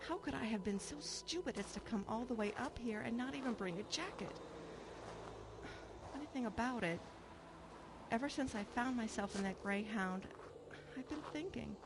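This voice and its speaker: An actress plays a troubled, wistful middle-aged woman in a radio drama. She speaks earnestly and reflectively at a medium pitch, with clear background noise and ambience around her. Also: natural voice. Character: troubled, wistful woman returning home to her estranged mother. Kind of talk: radio drama acting with first-person narration.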